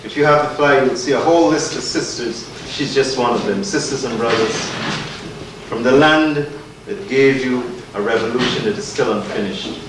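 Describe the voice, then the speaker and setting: A man's voice: mid-range voice, normal speed. Man hosting in an echoey hall. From Guyana. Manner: earnest, rhetorical, emphatic. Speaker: event host. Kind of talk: community lecture introduction. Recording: echoey hall, distant mic, noisy.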